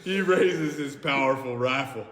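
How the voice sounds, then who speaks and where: A man's voice: mid-range voice, a little slow, a bit bright. A man reading an action film script aloud theatrically, stumbling over words now and then. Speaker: podcast host reading narration aloud. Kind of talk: dramatic read-aloud of an action film script among friends. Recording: echoey reverberant room, faint noise.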